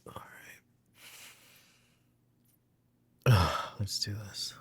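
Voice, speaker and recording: A man playing a brooding mafia boss in a roleplay ASMR audio drama, calm, protective and teasing, with a softly commanding way of talking. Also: on the low side, measured pace, slight background noise, lightly acted. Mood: weary, hushed. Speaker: voice actor.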